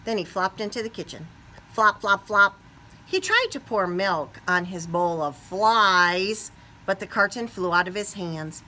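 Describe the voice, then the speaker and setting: A woman reading a picture book aloud to young children expressively, pausing to put questions to them. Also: mid-range voice, slight background noise. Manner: animated, asks the young listeners questions.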